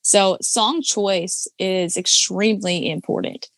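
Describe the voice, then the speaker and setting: Low-voiced woman with a dry close sound. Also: takes it slowly, fairly bright tone, no echo. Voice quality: low alto voice. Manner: many fillers and restarts, explains with examples. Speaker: podcast guest, karaoke singer and judge. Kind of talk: casual chat.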